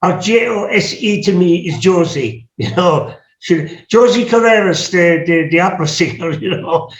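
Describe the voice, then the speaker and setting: An Irish man hosts a relaxed chat in a high, lively voice, wandering through his thoughts and tripping over his own words as he goes. The sound is roomy and echoey, with a dull, muffled lo-fi tone. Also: normal speed. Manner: rambling, repeats and restarts words, musing aloud. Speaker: host. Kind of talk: casual interview chat.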